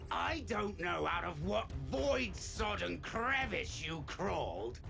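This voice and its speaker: An actor playing a menacing, sadistic hunter villain in a video game, with a theatrical, taunting, drawn-out delivery. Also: mid-range voice, big pitch swings, gravelly, over music, exaggerated voice. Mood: threatening.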